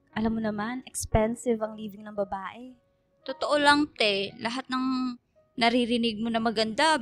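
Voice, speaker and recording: A woman plays a young Filipino woman in a radio drama, caring and emotional as she talks with people close to her. The voice is high, with faint music behind it. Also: close to an everyday voice. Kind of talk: radio drama dialogue, Taglish.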